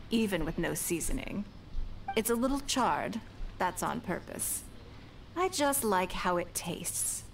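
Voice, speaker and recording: A woman voicing a poised, shrewd, wealthy businesswoman in a video game, speaking in a measured, genteel, lightly teasing way. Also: mid-range voice, a little hiss or hum, close to an everyday voice. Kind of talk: video game dialogue acting. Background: background music.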